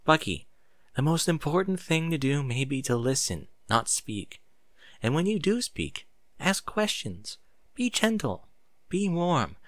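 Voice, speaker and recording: Man voicing a gentle, firm motherly pony teacher. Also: fairly high pitch, very animated, measured pace, no echo, studio quality. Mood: gentle, encouraging.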